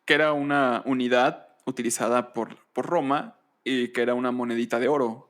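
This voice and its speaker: A man hosting a podcast, explaining history conversationally in a deliberate way, with pauses and rhetorical questions. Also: medium pitch, steady tone, a bit bright. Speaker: podcast host.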